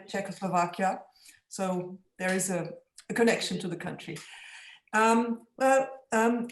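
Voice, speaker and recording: A woman speaking as a guest in an online panel discussion, measured and careful, with frequent restarts. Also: medium pitch, darkish tone. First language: German. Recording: echoey room.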